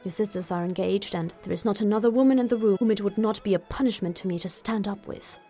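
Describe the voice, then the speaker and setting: A woman voicing a proud, disdainful young gentleman in an audiobook, with a cold, clipped manner. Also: mid-range voice, fast, soft background music, natural voice. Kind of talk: audiobook character voice.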